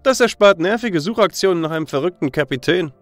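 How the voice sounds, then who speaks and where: A man's voice: sits high, expressive, fast talker, faint music behind, pitched above the performer's own voice. A man voicing a young warrior hero in a radio play, who is mocking and laid-back. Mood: wry. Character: young male warrior hero, wry and doubtful.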